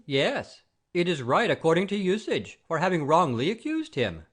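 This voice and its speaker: A man's voice: on the high side, sing-song, normal speed. A man playing an imperious, pompous father-in-law obsessed with honour and rank in a classic comedy audio play. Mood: pompous, scolding. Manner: commanding, pompous, formal.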